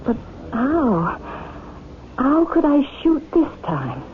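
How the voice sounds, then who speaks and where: Slow-spoken troubled woman in old radio drama. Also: high voice, big pitch swings, full character voice. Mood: amazed, relieved. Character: troubled woman, weary, searching, newly relieved of torment. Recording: muffled, faintly noisy old radio broadcast.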